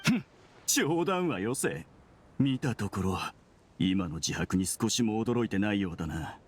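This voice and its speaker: Sneering, bitter criminal researcher, swinging intonation. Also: male voice, on the low side, expressive, measured pace, a little hiss or hum. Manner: sardonic, argumentative, theatrical. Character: bitter, sneering male researcher turned criminal, devoted to his mother. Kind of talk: Japanese anime-style game voice acting.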